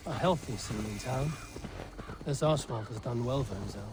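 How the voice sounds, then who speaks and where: A man's voice: medium pitch, moderate pace, noisy background, lightly acted. An actor playing a stern, commanding but loyal male Viking warrior in a video game. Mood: grim. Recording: game ambience with horses behind.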